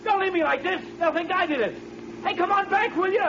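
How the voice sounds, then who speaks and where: A man's voice: extremely high pitch, fairly quick. An actor playing an earnest young man hired as a driver, in a 1930s radio drama. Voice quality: earnest, turns frantic when pleading. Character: young male driver, framed innocent. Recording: dull lo-fi old radio recording with hiss.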